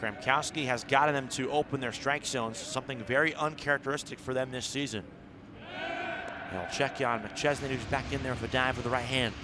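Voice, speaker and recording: Male baseball play-by-play voice, mid pitch, clipped live radio calls, steady outdoor background noise. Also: brisk. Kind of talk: live radio sports commentary. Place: outdoor ballpark broadcast.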